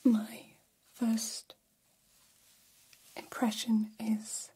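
A woman voicing a gentle, formal alien caretaker in an ASMR roleplay, whispering softly with slow, deliberate phrasing and long pauses. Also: on the high side, level tone, full character voice. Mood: quietly fascinated. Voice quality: soft, breathy whisper. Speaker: ASMR performer. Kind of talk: ASMR roleplay, personal attention.

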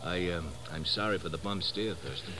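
A man's voice: deep voice, normal speed, close to an everyday voice. An actor playing a straightforward, slangy male pilot in a 1950s radio drama. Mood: matter-of-fact. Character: plain-spoken, suspicious male American pilot. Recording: noisy old 1950s radio recording.

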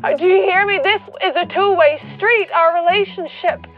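A woman's voice: very high voice, normal speed. An actress in a radio play playing a grieving, exasperated rural woman who pleads and argues, stammering at times. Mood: pleading, exasperated. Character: grieving, angry rural woman arguing with God. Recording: thin phone-like sound with music.

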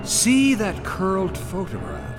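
An actor playing an ominous ghostly guide in a radio drama, with drawn-out, theatrical delivery. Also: male voice, sits high, huge pitch swings, over music, full character voice. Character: ominous, slow-speaking male ghost guide.

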